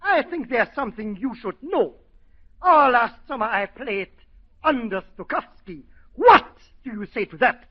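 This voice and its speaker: An actor playing a vain, excitable French orchestra violinist with a theatrical, indignant manner and broken English, in 1940s radio comedy style. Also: male voice, very high-pitched, a little slow, heavily acted. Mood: wounded pride. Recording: muffled vintage 1940s radio broadcast.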